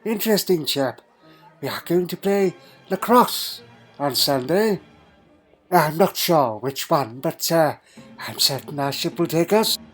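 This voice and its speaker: A man's voice: a little high, lively intonation, a little slow. Elderly time traveller, played by a man: doddering, fussy, imperious but fond, hums questioningly; audio drama over music. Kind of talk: fan audio drama acting. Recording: music underneath.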